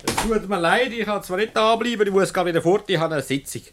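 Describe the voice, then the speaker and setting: An actor with a Swiss German accent playing a brisk, self-assured company director in a radio comedy. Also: male voice, high voice, background noise, close to an everyday voice. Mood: brisk, genial. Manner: assured, jovial, authoritative. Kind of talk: comedic radio play acting.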